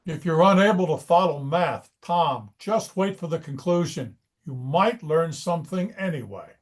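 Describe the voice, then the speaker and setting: A man's voice: a little high, animated, normal speed. A man playing a condescending, impatient audience member at a screenplay table read.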